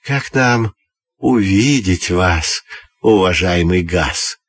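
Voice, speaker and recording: A man's voice: deep voice, animated, slow pace, breathy edge, no echo, voice pushed down, full character voice. A man voicing spiteful, envious, scheming aspen firewood in a children's storybook tale. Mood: fawning, eager. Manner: sly, mocking.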